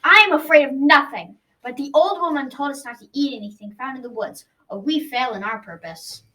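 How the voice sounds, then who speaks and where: A woman's voice: high voice, brisk, pushed and bright, low-quality recording. A young adolescent girl playing a bold, proud young male knight in a declamatory school radio drama style.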